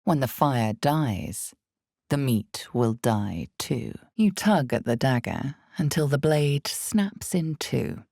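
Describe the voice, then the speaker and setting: A woman playing an ominous, all-knowing narrator of a fantasy video game, speaking in measured, deliberate, dramatic storytelling. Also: extremely low pitch, creaky, a little mellow, no echo, studio quality, heavily acted. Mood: grave, intense.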